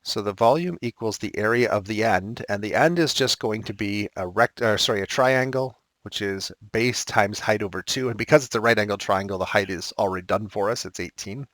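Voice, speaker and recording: A man teaching a recorded math lesson, thinking aloud and correcting himself as he works problems, his voice slightly raspy. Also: fairly low pitch, a little fast, few pauses, a bit bright, dry recording.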